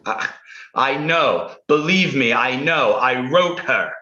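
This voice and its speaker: Actor as a bossy author lecturing his character; slow, slightly high, roomy dull recording. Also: expressive, pushed and bright. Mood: exasperated. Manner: exasperated, lecturing. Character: controlling male novelist arguing with his own character. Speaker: improv performer. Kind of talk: improvised stage comedy.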